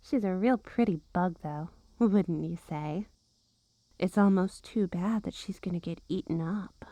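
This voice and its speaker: A woman's voice: lowish voice, measured pace, warm tone, no room sound. A woman playing a possessive, menacing witch girlfriend in an ASMR roleplay, cooing and teasing with mock-innocent sweetness and veiled threats. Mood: playful menace.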